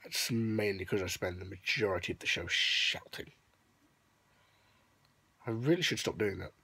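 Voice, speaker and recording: A young man with a hoarse, worn-out voice, unwell and sniffly, casually recapping in a rambling vlog, lying in his bedroom and talking into a phone held at arm's length. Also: low-pitched, normal speed, pushed and bright, dry recording. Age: young adult. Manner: rambling with many ums, upbeat. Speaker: vlogger. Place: bedroom at night, lying on a bed. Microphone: phone held close at arm's length.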